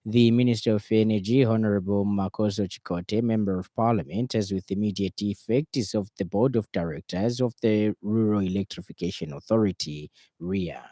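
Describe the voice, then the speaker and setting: A man's voice: on the low side, moderate pace, hardly any pauses, dark tone, no echo, studio quality. A man with a Zambian accent reading a formal radio news bulletin as a news reader.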